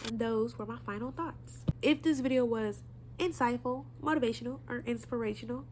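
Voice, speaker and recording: A woman's voice: on the high side, moderate pace, a little mellow, a little hiss or hum, low-quality recording. A young woman with a stuffy nose giving an earnest, preachy motivational talk straight to her viewers. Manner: earnest, preachy, direct address. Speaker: vlogger.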